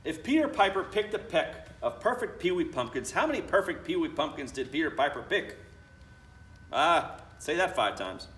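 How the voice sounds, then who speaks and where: A man's voice: fairly high pitch, very animated, normal speed, fairly bright tone. A man, a school principal, reading a children's picture book aloud expressively, with chatty asides to the children. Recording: roomy, distant mic, faint noise.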